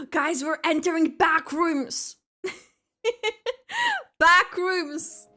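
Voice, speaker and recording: Bright, high-voiced woman streamer. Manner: playful, expressive, halting asides. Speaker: game streamer. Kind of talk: casual gameplay reaction commentary. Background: soft game music.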